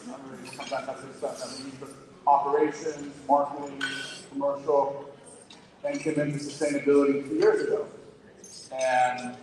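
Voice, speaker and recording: A man from Sweden with a Swedish accent, speaking earnestly as a company executive on a panel, often pausing with fillers. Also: mid-range voice. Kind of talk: panel discussion on stage. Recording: distant mic in an echoey hall with crowd.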